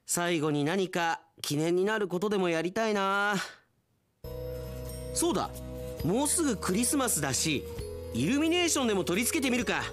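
A man playing an earnest, sentimental young railway station worker in a theatrical, expressive radio drama style. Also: sits high, moderate pace, pauses often, faint music behind. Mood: resolved.

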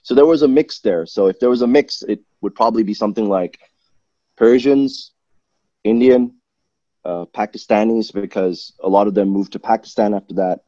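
A man's voice: fairly low pitch, normal speed, no room sound, lo-fi sound. A man from Malaysia, a guest in a casual interview, talking at length with many restarts and self-corrections. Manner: rambling, self-correcting, animated.

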